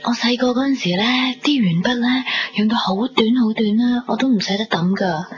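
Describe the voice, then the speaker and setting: A woman's voice: fairly high pitch, fairly quick, husky, soft background music. A woman with a Hong Kong Cantonese accent playing a girlish, teasing young woman in love in a radio drama. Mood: chatty. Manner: playful, teasing. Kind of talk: 1990s radio drama dialogue. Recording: dull lo-fi radio recording, faint noise.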